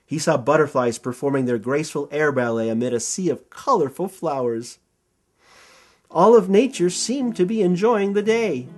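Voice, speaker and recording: A man reading a children's storybook aloud as storyteller, with expressive, dramatic emphasis. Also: on the high side, normal speed.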